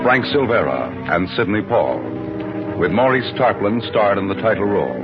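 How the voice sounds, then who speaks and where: A man with an American accent reading a scripted 1950s radio announcement. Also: on the low side. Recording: muffled old radio broadcast recording. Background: music.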